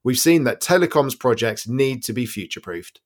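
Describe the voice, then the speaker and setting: A man's voice: medium pitch, animated, moderate pace. A man reading quoted remarks from a script in a formal, corporate manner. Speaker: reader of quotes. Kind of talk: scripted read-aloud of a quote.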